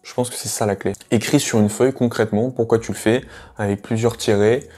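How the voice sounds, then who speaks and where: A man's voice: on the low side, steady tone, a little fast, a little mellow, faint music behind. A man giving a casual video lesson as an English teacher, talking straight to the viewer with many hesitations. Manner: chatty, addresses viewer directly, many hesitations.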